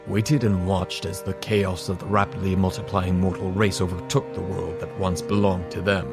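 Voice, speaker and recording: A man playing a scholarly professor gravely telling ancient lore in an audio drama, measured and erudite. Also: low-pitched. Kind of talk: fan audio drama acting.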